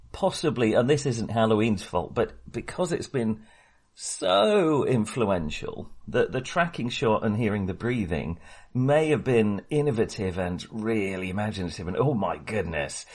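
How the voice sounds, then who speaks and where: A man's voice: lowish voice, a little mellow, husky. A man co-hosting a casual film discussion, talking in a hesitant, wry and opinionated way. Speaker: podcast co-host.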